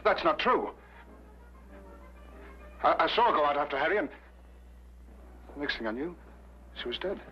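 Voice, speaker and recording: Actor as halting, defensive man, higher-pitched. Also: expressive, faint music behind, lightly acted. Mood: defensive, flustered. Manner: halting, stammering. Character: nervous, defensive, bitterly jealous male murder suspect. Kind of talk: vintage detective drama acting. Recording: dull old broadcast recording.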